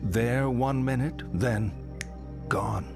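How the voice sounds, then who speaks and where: A slow, cynical older psychiatrist. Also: male voice, medium pitch, vocal fry, over music. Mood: morbid, needling. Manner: deliberate, needling, theatrical. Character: older, cynical, probing male psychiatrist, theatrically morbid. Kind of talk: video game monologue.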